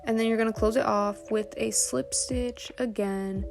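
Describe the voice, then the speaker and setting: A woman's voice: fairly high pitch, steady tone, normal speed, creaky. A woman narrating a step-by-step craft tutorial, often counting aloud, as its host. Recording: soft music bed under voice. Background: background music.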